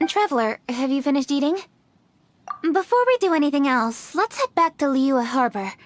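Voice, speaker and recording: A woman's voice: sits high, animated, moderate pace, a little hiss or hum. A woman voicing a poised, serious young noblewoman in a video game, speaking in a composed, formal way.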